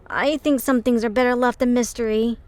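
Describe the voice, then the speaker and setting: A woman voicing a kindly, calm female companion in an amateur audio drama. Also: fairly high pitch, normal speed, slight background noise, close to an everyday voice. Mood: dismissive.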